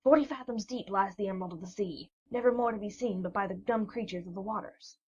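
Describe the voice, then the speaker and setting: A woman's voice: mid-range voice, fast talker, husky, natural voice. A woman reading a kind, sorrowful old fisherman. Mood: mournful. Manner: gentle, mournful storytelling. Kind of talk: storybook reading. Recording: dull lo-fi recording.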